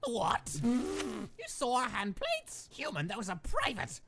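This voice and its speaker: A woman voicing an excitable, pompous skeleton monster in a comic dub, with a theatrical, grandiose and defensive manner. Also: fairly high pitch, takes it slowly, creaky, background noise, full character voice. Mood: indignant, flustered. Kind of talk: animated comic dub voice acting.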